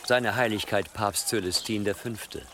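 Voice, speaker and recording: An actor playing an archbishop's deferential, obliging deputy in a German radio drama, with a formal, servile manner. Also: male voice, on the low side, fairly even intonation, unhurried, noisy background. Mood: servile, careful. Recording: music behind the voice.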